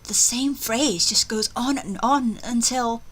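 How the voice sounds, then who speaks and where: A woman's voice: on the high side, takes it slowly, fairly bright tone. A woman hosting a gaming video, reading a story aloud theatrically with casual commentary. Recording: faint music behind.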